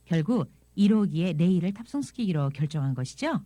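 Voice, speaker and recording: A woman presenting a scripted audio language lesson, explaining things in an expressive reading style. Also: on the low side, fairly quick, dry recording. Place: dry studio.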